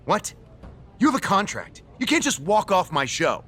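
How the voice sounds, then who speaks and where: An actor playing a smug, self-important, emphatic wrestling general manager in a video game cutscene. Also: male voice, high voice, huge pitch swings, normal speed, sharp tone, full character voice. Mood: threatening.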